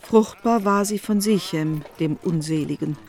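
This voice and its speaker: Lower-pitched female literary narrator, measured. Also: a little mellow.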